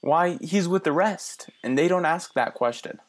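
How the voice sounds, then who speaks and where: A man's voice: fairly high pitch, close to an everyday voice. An actor playing an earnest young man from the city who muses aloud and stammers a little when he is unsure. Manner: earnest, halting with small stammers. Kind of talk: radio play acting.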